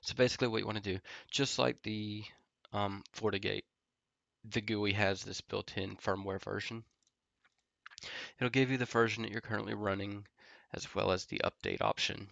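Congested, nasal man with a gravelly low voice. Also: normal speed, no room sound, muffled recording. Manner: relaxed, filler-heavy explaining while typing commands. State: congested from a head cold. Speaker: network engineer tutorial host. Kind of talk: casual screen-recorded tech tutorial. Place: home office.

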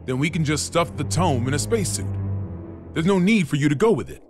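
Male actor as an older president, high-ish voice, lively swings, blunt, urgent, incredulous, animated game cutscene. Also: a little fast, over music, natural voice.

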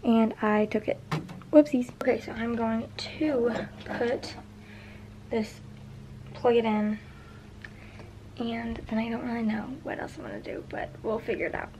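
A chatty teenage girl talks to her camera while cleaning her bedroom, her voice a touch high. She rambles, circling back on herself with plenty of fillers. The home recording has a clear hiss of noise behind it. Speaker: vlogger. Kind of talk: casual vlog chat. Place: bedroom, indoors. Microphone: handheld camera at arm's length.

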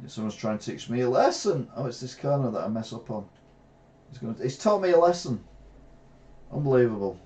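A middle-aged English man streaming a retro racing game, reacting excitably and trash-talking rivals from a gaming chair at home. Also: mid-range voice, a little fast, muffled recording. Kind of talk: live gameplay commentary. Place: small room at home, seated in gaming chair.

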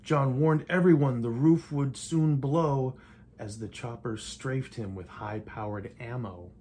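An older man gives a theatrical read-aloud of a rhyming picture book. His delivery is measured, with playful emphasis on the verse. He is recorded at home, with clear noise in the room. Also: medium pitch, dark tone. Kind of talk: rhymed storybook read-aloud. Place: indoors in a home living room.

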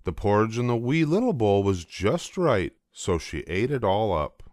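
A man's voice: fairly low pitch, sing-song, moderate pace, darkish tone, dry recording, studio quality. An older man reading a children's storybook aloud as scripted narration. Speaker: storybook reader.

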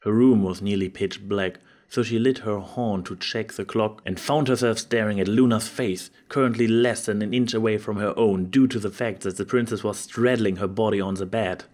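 A man's voice: fairly low pitch, steady tone, moderate pace, hardly any pauses, darkish tone. A man reading scripted fiction as the narrator of a dramatised story. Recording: music behind the voice.

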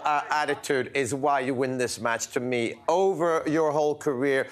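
A middle-aged man on a live sports panel who puts an enthusiastic, rambling question to a guest from an open-air studio set. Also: fairly high pitch. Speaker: studio pundit. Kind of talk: live tv interview.

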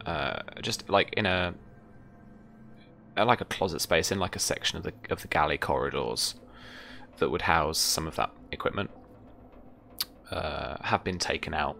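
A young man with a very low, bright voice runs a tabletop game, describing scenes off the cuff. He pauses thoughtfully between phrases, and faint music plays behind him. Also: gravelly. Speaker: game master. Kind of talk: unscripted tabletop game narration. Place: indoor room, online video call.

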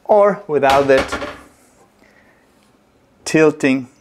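A middle-aged man, teaching slowly and deliberately while he demonstrates in a home kitchen. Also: fairly high pitch, background noise. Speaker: movement teacher. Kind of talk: instructional demonstration.

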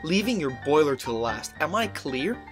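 A man voicing a courteous, brisk and sly railway owner. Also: fairly high pitch, very animated, over music. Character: polite scheming male railway owner.

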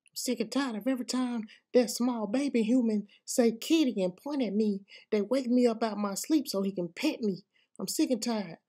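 A woman's voice: fairly high pitch, normal speed, mellow, lightly acted. A woman voicing a sassy, fed-up house cat in a comedic animal voiceover, slangy and scolding.

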